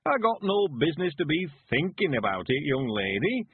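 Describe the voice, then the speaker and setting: A man voicing a cheerful, frail 91-year-old man, quavering and slow, rustic and stubborn. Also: on the high side, sing-song, a little hiss or hum, voice pushed up. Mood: cheery. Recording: phone-like lo-fi sound.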